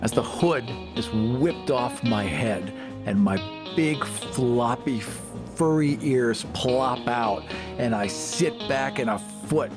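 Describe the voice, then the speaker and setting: A man playing a tabletop game, improvising a character description in deliberate, short, emphatic phrases. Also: medium pitch. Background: music with guitar.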